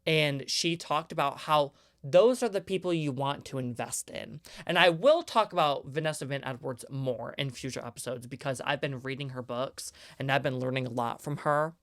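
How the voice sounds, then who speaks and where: Young male podcast host, 20s, higher-pitched voice, clean and smooth, earnest emphatic solo rant. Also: moderate pace, few pauses, fairly bright tone, dry recording, studio quality.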